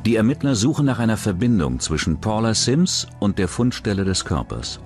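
Male documentary narrator, deep register, scripted crime narration, clear music bed. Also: sing-song, brisk, darkish tone. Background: background music.

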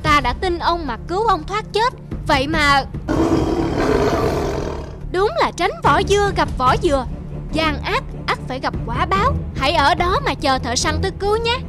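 A woman's voice: very high voice, animated, moderate pace, over loud music. A woman voicing a tiny, indignant cartoon mouse with a scolding, taunting manner. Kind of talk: cartoon voice acting.

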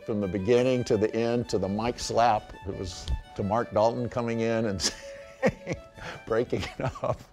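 A man with a low voice comments casually on a memory in an interview. Quiet music runs underneath. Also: steady tone, a little slow.

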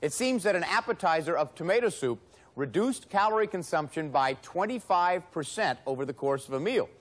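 Upbeat high-voiced male game show host. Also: expressive. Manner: brisk, cheerful, emphatic. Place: TV studio set of a supermarket game show. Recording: broadcast with loud music and studio audience.

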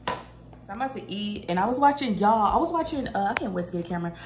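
A woman's voice: mid-range voice, expressive, fast talker, a little mellow, slight background noise. A young woman, chatting casually to her vlog viewers at home, filmed on a handheld phone. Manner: chatty, addresses viewers as y'all. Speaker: vlogger. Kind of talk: casual vlog chat to camera. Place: apartment kitchen and living room indoors. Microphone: phone camera held at arm's length.

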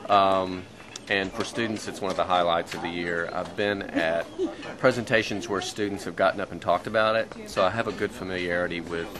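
Low-voiced man over loud background chatter. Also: fairly even intonation, a little fast. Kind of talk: unscripted testimonial. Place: outdoors on a wooden deck near trees. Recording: loud chatter behind, outdoor camcorder.

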